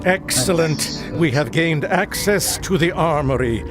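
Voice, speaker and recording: Scheming undersea prince, imperious game character, highish measured delivery, music behind. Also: male voice, animated. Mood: smug. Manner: imperious, formal. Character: haughty, scheming royal heir of undersea kingdom. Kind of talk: video game character acting.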